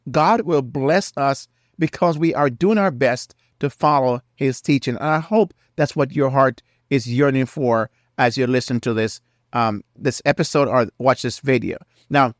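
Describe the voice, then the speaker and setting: A man's voice: medium pitch, no echo, clean studio sound. Nasal man with a head cold. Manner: earnest, preacherly, repeats key phrases. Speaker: podcast host. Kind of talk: faith-based marriage advice monologue.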